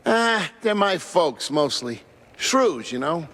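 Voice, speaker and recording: An actor playing a kindly, proud working-class shrew bartender in a 1930s noir cartoon game, folksy and resigned. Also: male voice, fairly high pitch, big pitch swings, slow, a bit bright, full character voice.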